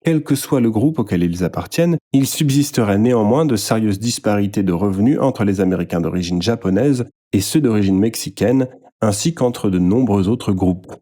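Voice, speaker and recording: A man reading a nonfiction book aloud as scripted narration, in a measured, formal way. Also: fairly low pitch, mellow. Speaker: audiobook-style reader.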